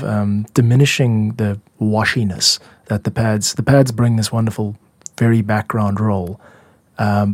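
A man's voice: lowish voice, a little mellow, husky, dry recording. A man hosting a music podcast, explaining production work in a conversational, reflective and demonstrative way. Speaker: music podcast host and composer.

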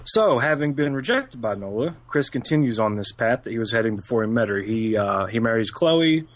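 A man with a flat, monotone delivery walks through a film's plot on a casual podcast. His pitch sits in the middle for a man, and he talks in an even, unhurried way with small fillers. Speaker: podcast co-host giving film synopsis.

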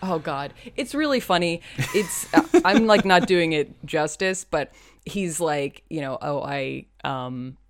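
A woman's voice: deep voice, expressive, measured pace, no echo, studio quality. A woman co-hosting a podcast, chatting casually about a TV episode and joking as she acts out lines. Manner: laughing, jokey, acts out quotes. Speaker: podcast co-host. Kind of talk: casual chat recapping a TV episode.

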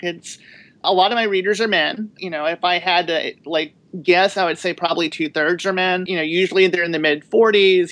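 A woman's voice: lowish voice, no echo. Reflective woman author, dry sound. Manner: reflective, thoughtful, steady explaining. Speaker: horror author. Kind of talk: panel discussion answer.

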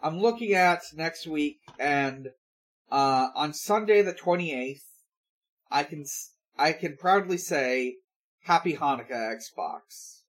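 Slow-talking man hosting a podcast. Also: on the high side, lively intonation. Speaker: podcast host. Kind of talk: casual podcast talk, plugging shows.

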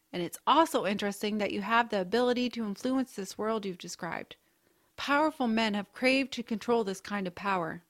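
A woman playing a kindly, adventurous aunt in a family audio drama, speaking in a gentle, reassuring, measured way. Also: medium pitch, lightly acted. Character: kindly, adventurous, knowing aunt with a mysterious side.

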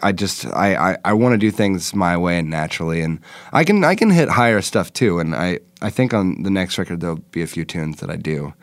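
Deep-voiced rambling male rock singer. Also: very animated, fairly quick, dry recording. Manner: rambling, many fillers and restarts. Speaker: rock singer being interviewed. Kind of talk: casual interview chat.

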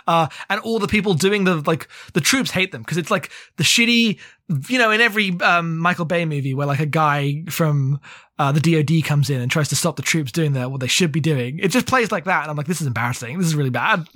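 A man's voice: on the high side, sharp tone, no echo, clean studio sound. A man co-hosting a podcast in a casual book discussion, opinionated and sweary, rambling along with lots of "like". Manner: rambling, heavy use of "like". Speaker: podcast co-host.

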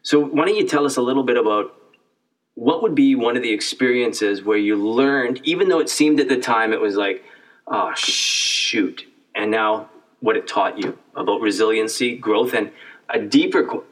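A man's voice: mid-range voice, moderate pace, a bit bright, distant mic, poor audio. A middle-aged man from Canada hosting a casual sit-down interview, asking earnest, searching questions and often repeating words as he builds them. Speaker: host.

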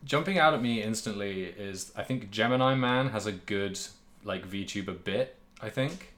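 A man with a fairly low voice co-hosts a gaming podcast. He talks casually and rambles a little, working out his thoughts out loud as he goes. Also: moderate pace. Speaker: podcast co-host. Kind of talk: casual chat about a video game.

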